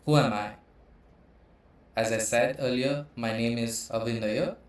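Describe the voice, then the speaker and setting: A man from India in his thirties, hosting his own channel and walking through slides on computational biology, pausing often with uh. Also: medium pitch, steady tone. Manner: hesitant, frequent uh fillers. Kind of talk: explaining with slides. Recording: echoey room.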